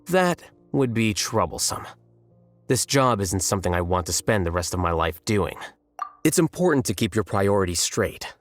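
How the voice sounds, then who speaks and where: An actor playing an aloof, rational young scholar in a video game, speaking in a precise, detached deadpan. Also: male voice, on the low side, normal speed, soft background music. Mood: calm, detached. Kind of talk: animated video game voice acting.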